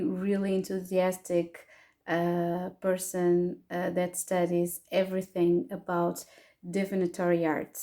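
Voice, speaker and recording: Hesitant Portuguese woman, slow and even. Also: on the low side. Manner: hesitant, many fillers and restarts. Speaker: tarot reader and astrologer. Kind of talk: casual pick-a-card tarot reading.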